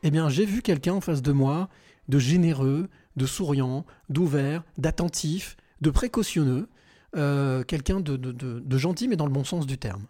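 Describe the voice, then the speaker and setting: A man hosting a podcast interview in a large room in a home, speaking warmly and stopping to search for words. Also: fairly high pitch, moderate pace. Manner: warm, searching for words with repeated restarts. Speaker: podcast host.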